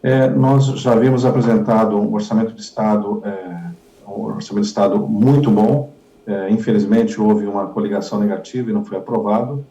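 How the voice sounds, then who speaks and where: Male politician, low gravelly voice, halting and repetitive answers, dull echoey recording. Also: steady tone, warm tone. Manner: halting, repetitive, many fillers. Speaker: politician interviewee. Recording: dull echoey room audio.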